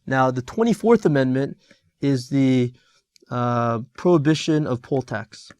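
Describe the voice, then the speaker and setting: A middle-aged man reviews an exam answer for his students. He talks in halting stretches, full of "uh" and "um" and restarted phrases. His voice sits at a middle pitch for a man. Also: darkish tone, clear, resonant tone, dry recording, clean studio sound. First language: Korean. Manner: halting, many fillers and restarts. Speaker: teacher.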